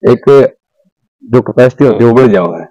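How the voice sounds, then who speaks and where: A man's voice: medium pitch, moderate pace, warm tone, dry recording, muffled recording. A young man with a Haryanvi accent answering interview questions outdoors in a plain, matter-of-fact way. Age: young adult. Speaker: buffalo seller. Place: outdoors in a village animal yard.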